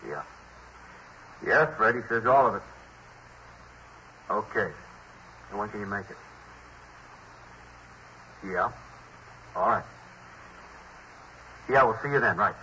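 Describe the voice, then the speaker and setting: A lowish, forceful American male voice as a cagey crook in an old radio crime play. He is slangy, grudging and easily irritated. The broadcast sounds dull, with faint hiss. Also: expressive, slow pace, long pauses, full character voice. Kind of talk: 1950s radio crime drama acting.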